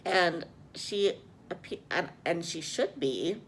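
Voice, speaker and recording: A woman's voice: fairly low pitch, expressive, slow pace, slight background noise. A woman chatting casually as a book vlogger, rambling and hesitant, often correcting herself as she goes. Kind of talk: casual chat, reading vlog.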